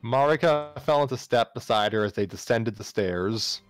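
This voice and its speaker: A man's voice: mid-range voice, moderate pace. A man narrating a story aloud live, sometimes stumbling and rereading phrases. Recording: music playing behind the voice.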